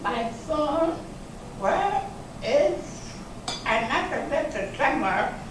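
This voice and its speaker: A woman's voice: high voice, very animated, takes it slowly, heavily acted. Woman acting a defensive suspect, very echoey hall. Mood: flustered. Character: defensive, flustered woman suspect, night-shift respiratory therapist. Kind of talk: amateur readers theater reading. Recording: very echoey hall, distant mic, noisy.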